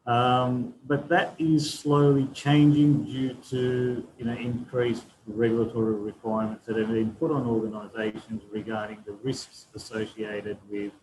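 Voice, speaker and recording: A man gives a panel answer in an explanatory, methodical way. His voice sits at an ordinary pitch for a man. A faint hiss runs under the recording. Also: little pitch movement, unhurried, few pauses, a little mellow, rough recording. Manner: explanatory, frequent tag "right?".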